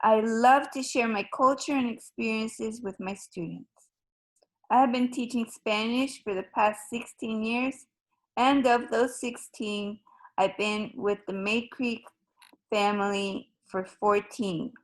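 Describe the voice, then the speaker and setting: Spanish teacher lady from ecuador, slow and careful, kinda high voice, lots of ums. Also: fairly even intonation, low-quality recording. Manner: slow, measured and formal, with frequent ums. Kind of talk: recorded open house presentation.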